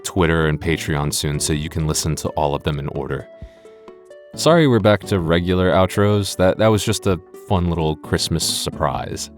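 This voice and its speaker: Low-voiced man chatting awkwardly over music. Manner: awkward, self-deprecating, halting. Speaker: audio roleplay creator. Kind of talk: casual skit-like outro chat to listeners.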